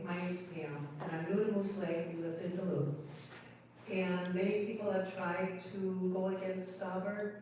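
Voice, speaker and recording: A woman's voice: on the low side, darkish tone. Distant, echoey American woman, plain-spoken. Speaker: audience member. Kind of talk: informal comment at a public meeting. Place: indoor town hall meeting, away from the mic. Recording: very echoey room, far mic, noisy.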